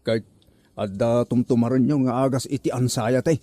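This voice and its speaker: A man's voice: mid-range voice, steady tone, normal speed, dark tone, no echo, close to an everyday voice. A man with an Ilocano accent playing a kind, gullible younger nephew who asks concerned questions in a comedy drama. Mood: sympathetic. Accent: Ilocano, northern Philippines.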